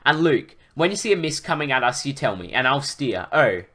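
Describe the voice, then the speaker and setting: A man's voice: medium pitch, big pitch swings, a little fast, bright, forceful tone. Man reading as urgent young dragon-riding hero. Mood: urgent, commanding. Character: thirteen-year-old dragon rider, urgent, commanding young hero. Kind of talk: amateur storybook reading.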